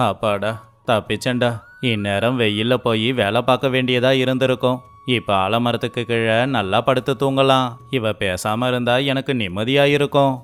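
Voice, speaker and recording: A man's voice: medium pitch, steady tone, moderate pace, fairly bright tone, clear, resonant tone, close to an everyday voice. A man voicing a clever, scheming village husband in a moral story, speaking persuasively and expressively. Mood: relieved. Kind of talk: acted moral story for children. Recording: faint music underneath.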